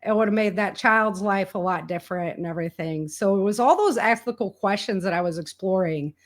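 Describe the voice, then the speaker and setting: An American woman speaks as a guest in a casual conversation. She tells stories candidly, in everyday slang, often quoting people as she goes. Her voice sits at a middle pitch. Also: a little fast. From rural United States. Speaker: guest, indie author and entrepreneur.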